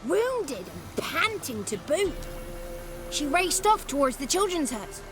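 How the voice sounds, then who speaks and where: A woman's voice: sits high, big pitch swings, slow, music in the background, exaggerated voice. A woman voicing a cheeky, childlike male swamp creature in a video game, talkative and theatrical, with boastful, florid wordplay. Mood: boastful, animated. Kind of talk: video game character acting.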